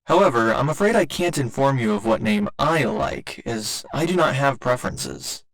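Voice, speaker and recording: A man's voice: mid-range voice, big pitch swings, normal speed, a bit bright, poor audio. A man voicing a polite, earnest robot boyfriend in an ASMR roleplay, speaking in formal, precise and naively literal language. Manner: formal, precise, careful wording with occasional glitchy stutters.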